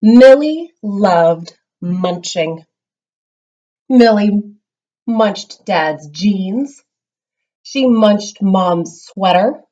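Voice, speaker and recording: A woman teacher giving a read-aloud lesson to young children, explaining simply and reading with expressive emphasis. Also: mid-range voice, extremely slow, long pauses, highs cut off. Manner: instructional, simple explanations with check-ins. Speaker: primary school reading teacher.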